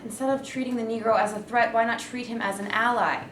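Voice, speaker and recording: A woman's voice: a little high, steady tone, fast talker, fairly bright tone, lightly acted. A woman playing an earnest, insistent male labor activist in a staged reading. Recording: echoey room, distant mic, faint noise.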